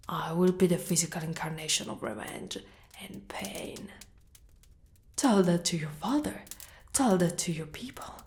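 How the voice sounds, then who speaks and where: A woman voicing a proud, fiercely protective female dragon knight in an ASMR roleplay, speaking deliberately and often breathy or whispered. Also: fairly low pitch, a little mellow. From Italy.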